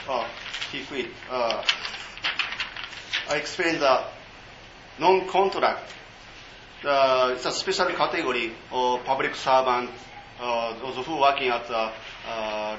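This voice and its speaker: A man giving an informal seminar talk in English, halting and full of fillers. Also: mid-range voice, a bit bright, echoey room, muffled recording, a little hiss or hum. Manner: halting, many fillers, non-native English.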